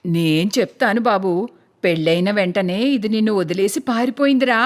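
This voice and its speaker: A woman's voice: mid-range voice, a little fast, pitched below the performer's own voice, close to an everyday voice. A woman voicing a stern, bossy older mother-in-law in a cartoon story. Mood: scolding. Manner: scolding, commanding.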